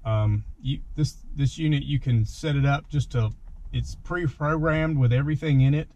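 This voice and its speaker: A man's voice: mid-range voice, normal speed, darkish tone. A man walks through his gear setup. He is plain-spoken and unscripted, going step by step as he sits in his parked truck. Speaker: vlogger demonstrating his gear. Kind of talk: casual unscripted product walkthrough.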